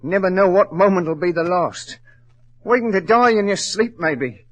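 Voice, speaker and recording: An actor playing a nervous, despairing servant, halting and melodramatic in 1940s radio drama style. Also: male voice, high-pitched, fairly bright tone, dry recording. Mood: anguished panic. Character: nervous, despairing male servant, easily overwrought.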